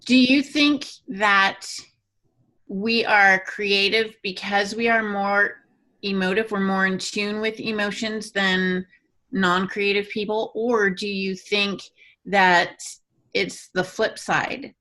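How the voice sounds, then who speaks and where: A woman's voice: mid-range voice, steady tone, slow pace, pushed and bright. A woman co-hosting a podcast in a casual, chatty style, putting questions to the others. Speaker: podcast co-host. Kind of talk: casual chat, asking questions.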